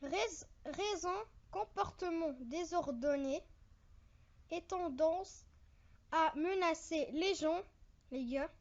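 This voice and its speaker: A very young-sounding host narrating an informal online countdown, reading haltingly phrase by phrase with casual asides to viewers. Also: extremely high pitch, muffled recording. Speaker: video host.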